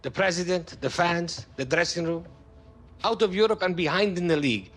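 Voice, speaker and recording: A man playing a foreign football club executive in a game cutscene, courteous and halting in accented English. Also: sits high, fairly bright tone. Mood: worried, confiding. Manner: courteous, halting non-native phrasing. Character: smooth foreign football club executive, anxious under pressure. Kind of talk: video game cutscene acting. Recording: music behind the voice.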